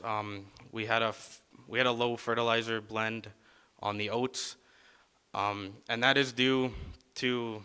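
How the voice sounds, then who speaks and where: Young guy answering questions after a class talk, clear bright voice, kinda flat, lots of ums. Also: fairly low pitch. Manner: repeats questions back, hesitant with fillers. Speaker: college student presenter.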